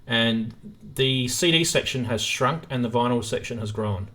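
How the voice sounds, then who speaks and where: A man's voice: medium pitch, moderate pace, bright, forceful tone. An Australian man hosting a casual live chat about music gear. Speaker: live stream host.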